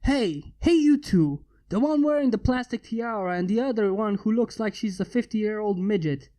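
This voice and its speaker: A man's voice: high-pitched, normal speed, dark tone, close to an everyday voice. A man voicing a cocky, teasing inventor in a story, with a casual, taunting manner. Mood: taunting menace. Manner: taunting, casual, mock-menacing. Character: cocky, teasing human male inventor with armored bodyguards.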